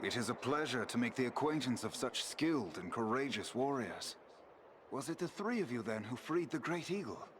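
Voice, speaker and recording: A man's voice: medium pitch, animated, normal speed, fairly bright tone, a little hiss or hum, lightly acted. A man playing a noble, courtly elf warrior in a fantasy game, speaking formally. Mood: courteous. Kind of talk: fantasy game cutscene acting.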